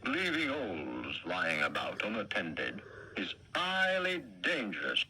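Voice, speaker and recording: Guy putting on a pompous bossy constable, lower voice, bright tone. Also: wildly swooping pitch, takes it slowly, slight background noise, exaggerated voice, rough recording. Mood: officious. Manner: pompous, officious. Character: pompous male village constable, officious. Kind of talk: storybook reading.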